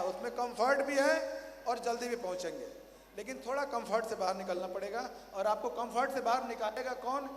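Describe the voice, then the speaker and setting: A man with a very high voice and a hoarse throat, speaking on a stage microphone to a big crowd. He keeps throwing questions out and repeating them louder. The sound carries a long, roomy echo from the large hall. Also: a little hiss or hum. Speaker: motivational speaker at a company training event. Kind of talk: rousing stage speech to a large audience. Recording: echoey hall, faint ambience.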